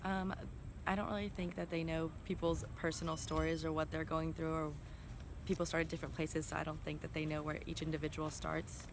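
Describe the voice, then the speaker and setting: Young female student; low, even, halting delivery with music behind. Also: noisy background. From Charlotte, North Carolina. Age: young adult. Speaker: student interviewee.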